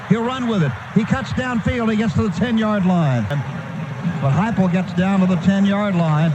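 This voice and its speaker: A man with a high-set voice calls a football game live on the radio. He describes the action in short, clipped phrases as it happens. The broadcast has a clearly noisy stadium sound. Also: muffled recording. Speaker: radio play-by-play announcer.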